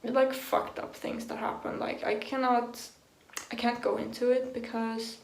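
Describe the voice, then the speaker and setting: A young Swedish woman talking frankly to the camera in accented English, her fairly high voice full of vocal fry. She speaks slowly and loosely, swearing often and leaning on "like" as a filler, with nervous little laughs. The room around her rings with a noticeable echo. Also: level tone. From Sweden. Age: young adult. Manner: casual, swears freely, heavy use of "like", nervous laughs. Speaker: vlogger. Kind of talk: personal confessional talk to camera.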